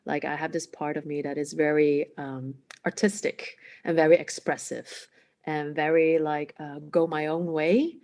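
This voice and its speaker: A woman from the Netherlands who grew up speaking Cantonese, answering interview questions reflectively with many hesitant "um" pauses. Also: low-pitched, level tone, a little mellow. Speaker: guest, emotional awareness coach.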